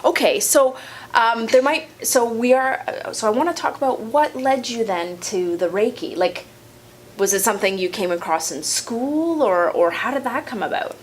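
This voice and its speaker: A woman's voice: fairly high pitch, animated, normal speed, a little hiss or hum. A woman hosting her own interview show, asking questions in an enthusiastic, encouraging way with many fillers. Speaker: host, physiotherapist.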